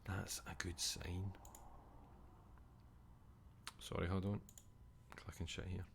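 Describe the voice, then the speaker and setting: A man's voice: sits low, little pitch movement, takes it slowly, gravelly, a little hiss or hum. A young Scottish man, a streamer, chatting casually and rambling, swearing now and then and reading chat messages aloud.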